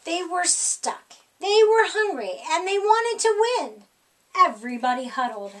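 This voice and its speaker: Middle-aged female storyteller, slowish and very animated, expressive picture-book delivery. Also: very high voice, far from the mic. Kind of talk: expressive read-aloud of a children's picture book. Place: small indoor room with decorated wall.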